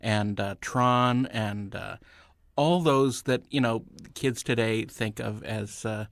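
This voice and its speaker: A man's voice: mid-range voice, slow, fairly bright tone, dry recording, studio quality. A middle-aged man reminiscing casually as a panelist in a group chat. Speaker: panelist on a movie discussion show.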